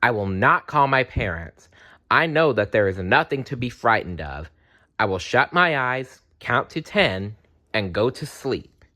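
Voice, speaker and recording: Man as little storybook schoolgirl, tired, cranky, swooping pitch, childlike. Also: sing-song, a little slow, bright, forceful tone. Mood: self-reassuring. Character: young schoolgirl, tired, cranky and easily startled.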